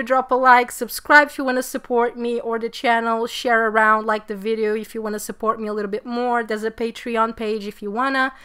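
A woman's voice: a little high, fairly bright tone, smooth. A woman in her twenties with a Portuguese accent, chatting casually and effusively as the host of a reaction video. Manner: effusive, slangy. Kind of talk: casual excited reaction chat. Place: small bedroom, indoors.

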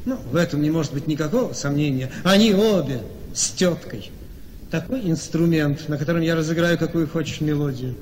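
High male voice, man acting calculating self-satisfied gentleman, theatrical radio play, long hall reverb. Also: brisk, slight background noise, lightly acted. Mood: confident.

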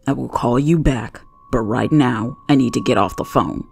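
A man voicing a commanding, godlike male figure in a dramatized audio story, speaking with slow authority. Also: medium pitch, vocal fry, a little hiss or hum, lightly acted. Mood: firm.

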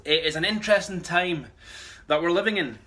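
A pastor, a man in his thirties, delivers a conversational sermon. His voice is bright and forceful and pitched a bit high. He explains things earnestly, speaking to a phone. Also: brisk. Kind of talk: sermon, conversational address to camera. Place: small bright room at home. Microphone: phone a short distance away.

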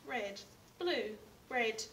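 Bright, high-voiced young woman teacher. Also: lively intonation, extremely slow. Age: young adult. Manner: simple step-by-step instructions with repeated prompts. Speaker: primary school teacher. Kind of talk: teaching signs and reading a picture book to young children. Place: indoors in a school reading corner. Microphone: camera a few feet away. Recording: distant mic with background room noise.

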